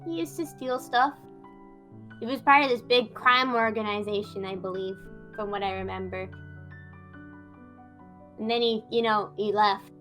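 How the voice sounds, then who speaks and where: A woman's voice: medium pitch, steady tone, moderate pace, long pauses, fairly bright tone, soft background music. A woman improvising a reformed fire mage in a game roleplay, hesitant and stammering, often repeating words.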